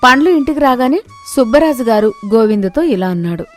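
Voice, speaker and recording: A woman's voice: sits high, lively intonation, fairly quick, soft background music. A woman reading scripted narration for a moral story as its narrator.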